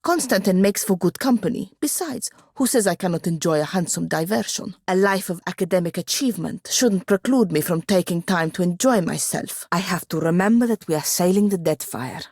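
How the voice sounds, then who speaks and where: Haughty young wizard with gravelly low-edged voice. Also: female voice, expressive, brisk, hardly any pauses, a little mellow, no echo, studio quality. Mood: flustered. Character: proud, bookish, sharp-tongued young wizard woman, newly freed apprentice. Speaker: companion character in a fantasy role-playing game. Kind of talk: scripted video game dialogue.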